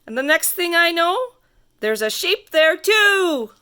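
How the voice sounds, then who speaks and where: High, bright woman reading a children's storybook. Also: big pitch swings. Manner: theatrical, sing-song, drawn-out emphasis. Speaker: storybook reader. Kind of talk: expressive read-aloud of a rhyming children's picture book.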